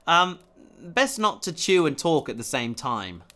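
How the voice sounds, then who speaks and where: A man's voice: a little high, very animated, a little slow, pushed and bright, exaggerated voice. A man playing an earnest, determined human spacefarer in a science fiction audio drama, sincere and slightly awkward. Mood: patient, gentle.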